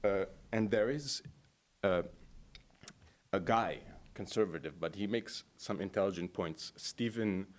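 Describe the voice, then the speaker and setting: A man from Los Angeles giving public comment at a city commission meeting, halting and digressive, with formal greetings. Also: low-pitched. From Los Angeles, USA.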